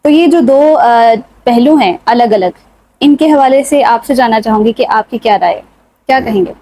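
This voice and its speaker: A woman's voice: on the high side, warm tone, low-quality recording. A woman with a Pakistani accent hosting a talk show and putting formal questions to her guest.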